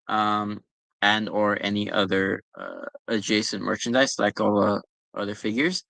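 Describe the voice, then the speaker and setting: A man's voice: mid-range voice, a bit bright, dry recording. A young man chatting casually about working at a store, rambling with lots of ums and you-knows. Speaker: former pop-up store worker answering questions. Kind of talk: casual chat.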